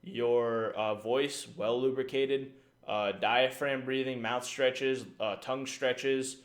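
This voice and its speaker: A man talks through casting tips on a stream in a loose, rambling way. He fills gaps with "uh" and checks in with "right?". His pitch sits in an ordinary middle range. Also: fairly even intonation, slow. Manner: rambling, frequent fillers and "right?". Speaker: esports caster giving tips. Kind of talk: casual livestream talk.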